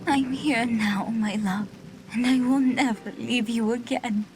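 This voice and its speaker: A woman voicing a gentle, forgiving animal-folk wife in a video game, tender and soothing. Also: a little high, steady tone, a little slow, lightly acted. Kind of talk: dramatic video game voice acting. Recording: loud music and rain behind.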